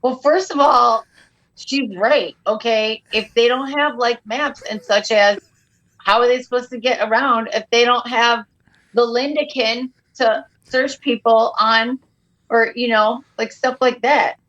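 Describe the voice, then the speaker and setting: A middle-aged woman joking her way through a podcast chat with big, exaggerated flair. Her voice is bright and a touch high, with a sharp ring to it. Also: a little slow, muffled recording. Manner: animated, jokey, exaggerated. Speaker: comedian guest. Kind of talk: comedic podcast banter.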